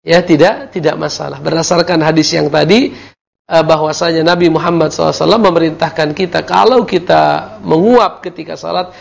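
Gravelly-voiced man teaching Islamic law. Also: a little high. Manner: measured explanation with Arabic terms, frequent fillers and self-corrections. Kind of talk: televised religious lesson with call-in questions.

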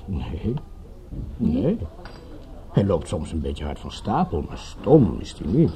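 An actor playing a shady crook in a radio play, blunt and controlled, with an insinuating menace. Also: male voice, low-pitched, wildly swooping pitch, takes it slowly, dark tone, exaggerated voice. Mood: cool, menacing. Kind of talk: Dutch radio drama acting.

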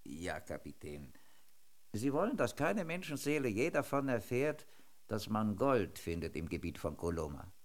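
A man's voice: lowish voice, big pitch swings, moderate pace. An actor in a German radio drama playing a knowing, deferential carpenter who answers his boss in a terse, guarded way.

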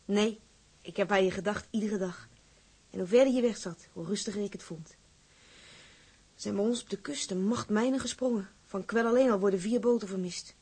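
Husky, outspoken young woman in love. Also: mid-range voice, moderate pace, a little mellow. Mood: frank. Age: young adult. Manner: blunt, insistent, girlishly colloquial. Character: outspoken, lovestruck young woman of a seaside town, just of age. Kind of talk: dramatized dialogue acting.

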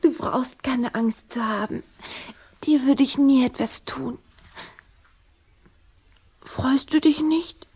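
High-voiced, eager little vampire girl. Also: slow, pauses often, darkish tone, husky, exaggerated voice. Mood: tender, eager. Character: eager, affectionate young vampire girl, proud and playful. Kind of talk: German children's radio play acting.

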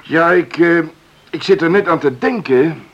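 A man's voice: a little high, a little slow. A man acting in a Dutch radio drama as a cautious, conciliatory countryman who speaks hesitantly, on a lo-fi recording. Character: cautious, amiable countryman negotiating for his partners.

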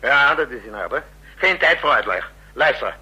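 An actor playing a commanding, brusque president in a Dutch radio drama, barking orders and grumbling asides. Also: male voice, mid-range voice, expressive, moderate pace, bright, forceful tone, slightly rough, heavily acted, poor audio. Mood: brisk, commanding.

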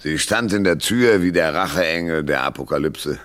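An actor playing a world-weary, hard-boiled private detective in a 1970s German radio drama, sardonic and laconic. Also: male voice, very deep voice, moderate pace, fairly bright tone. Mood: weary narration. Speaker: lead actor and narrator.

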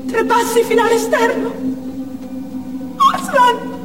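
A woman acting a desperate, resolved young female martyr in a theatrical stage monologue, with slow, declamatory phrasing. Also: extremely high pitch, expressive, far from the mic, over loud music, heavily acted. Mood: tearful exaltation. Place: theatre stage, picked up from a distance. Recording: echoey theatre hall from afar, loud noise.

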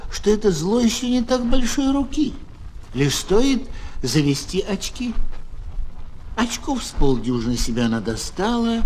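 A man giving a theatrical, expressive recitation of verse fables for children as a storyteller. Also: high-pitched. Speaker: fable narrator, actor. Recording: noisy old flexi-disc record.

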